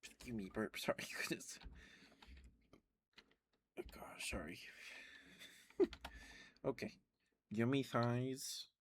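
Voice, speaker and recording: A man streaming ASMR, talking softly and reassuringly to his chat into a close microphone. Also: medium pitch, takes it slowly, pauses often. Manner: soft, gentle, reassuring. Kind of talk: whispered ASMR affirmations and casual stream chat. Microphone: close ASMR microphone with headphones.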